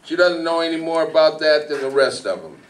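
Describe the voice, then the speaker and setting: A man's voice: fairly high pitch, expressive, brisk, very noisy, natural voice. A man playing a plain-spoken police detective in an amateur radio play. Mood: matter-of-fact. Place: small hall stage, indoors. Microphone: stand microphone, recorded by far camera. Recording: distant hall PA recording.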